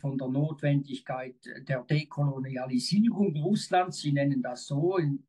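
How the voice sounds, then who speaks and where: A man's voice: mid-range voice, unhurried, warm tone, husky. A man with a Swiss accent answering as a guest in a political interview. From Switzerland. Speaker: interview guest, former military officer.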